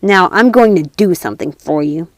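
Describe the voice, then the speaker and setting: A woman's voice: lowish voice, lively intonation, moderate pace, no room sound, close to an everyday voice. A woman playing a fierce, bossy little robber girl in a storybook, speaking bluntly and emphatically. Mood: commanding. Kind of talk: storybook character voice.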